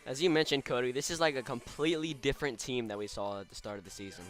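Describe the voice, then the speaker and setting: A man's voice: medium pitch, fast talker, soft background music. A man giving conversational color commentary as an analyst on a baseball broadcast.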